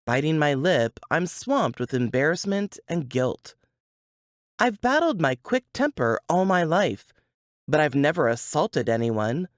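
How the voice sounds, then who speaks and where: A woman narrates first-person fiction with dramatic, deliberate phrasing. Her delivery is slow and very animated in pitch, captured in a dry, close sound. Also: no echo. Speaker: author reading from a novel.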